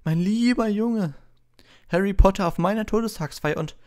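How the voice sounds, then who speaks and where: A man voicing a courteous, pompous, excitable old ghost in a storybook, speaking formally and eagerly. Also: sits high, normal speed, voice pushed up, close to an everyday voice. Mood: delighted, hopeful.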